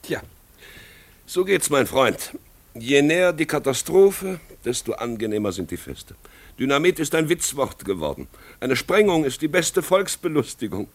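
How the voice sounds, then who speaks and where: An actor playing an urbane, wry and gently taunting confidant of an aristocrat in a 1950s German radio drama. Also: male voice, fairly high pitch, big pitch swings, normal speed. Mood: wry, philosophical.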